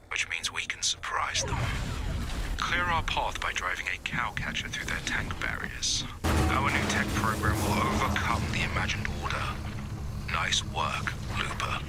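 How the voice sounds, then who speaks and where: A man voicing a brisk resistance commander in a video game, giving terse, encouraging tactical orders. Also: fairly low pitch, background noise. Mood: determined. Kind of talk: video game mission briefing.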